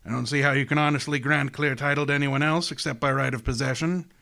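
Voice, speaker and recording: An actor playing a genteel, scheming older crime boss in a radio drama, speaking in a courtly, grandiloquent, relishing way. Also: male voice, medium pitch, steady tone, quick pace, creaky, pushed and bright. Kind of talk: hardboiled detective radio drama acting.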